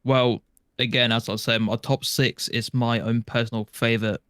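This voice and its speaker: A man's voice: medium pitch, flat delivery, moderate pace, fairly bright tone, smooth, no echo. Man in casual chat, very dry sound. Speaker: podcast co-host.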